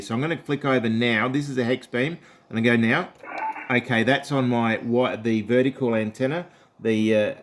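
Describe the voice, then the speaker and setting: A man with an Australian accent talking casually and chattily as an amateur radio operator during a contact. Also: medium pitch.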